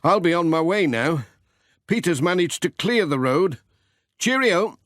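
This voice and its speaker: A man's voice: fairly high pitch, lively intonation, a little slow, a bit bright, no room sound, clean studio sound, pitched above the performer's own voice. A man voicing a cheerful, good-natured village postman in a children's storybook, friendly and given to exclaiming. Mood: cheerful, grateful.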